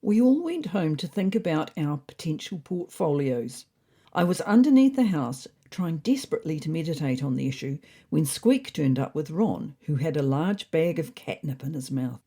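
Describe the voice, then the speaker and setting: Low, husky, expressive woman storyteller. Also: normal speed. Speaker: author and narrator of a fiction podcast. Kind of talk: scripted comic story narration as a diary.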